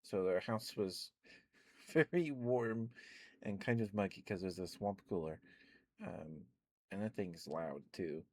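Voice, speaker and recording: A man chatting in a soft, rambling ASMR style, close to the mic, with many fillers and small laughs. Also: low voice, slow pace, no echo. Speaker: ASMR streamer.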